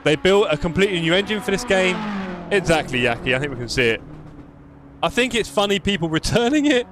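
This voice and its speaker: A man's voice: high-pitched, sing-song, brisk, a bit bright, a little hiss or hum. Jokey London man streaming a racing game. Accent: London English. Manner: chatty, jokey, reads chat aloud. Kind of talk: live stream chat while gaming.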